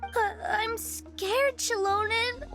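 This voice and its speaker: A woman voicing a timid, fragile little girl in a video game, small and childlike, speaking haltingly as if lost and frightened. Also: very high-pitched, fairly bright tone, faint music behind, heavily acted. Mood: scared, tearful. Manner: hesitant, halting, stammering. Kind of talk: animated video game dialogue.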